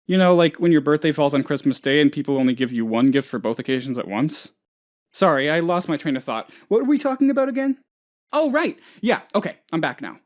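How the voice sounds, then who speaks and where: An actor playing a naive, anxious, scatterbrained office boss who rambles and gets sidetracked, in a video game. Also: male voice, a little high, expressive, fairly quick, natural voice. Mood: eager, scatterbrained. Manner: rambling, absent-minded, easily sidetracked. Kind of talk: video game character voice lines.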